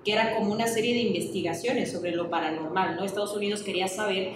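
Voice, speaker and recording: A woman's voice: mid-range voice, fast. Chatty Mexican woman podcaster. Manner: chatty, slangy, lots of "¿no?". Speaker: podcast co-host. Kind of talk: casual chat. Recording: echoey room, mic far off, hiss.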